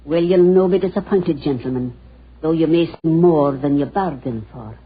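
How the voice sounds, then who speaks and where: An actress playing an ominous, superstitious older housekeeper in a 1940s radio drama, with a Scottish accent and a dour, foreboding manner. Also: female voice, low-pitched, normal speed, breathy edge. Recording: muffled, noisy old 1940s radio recording.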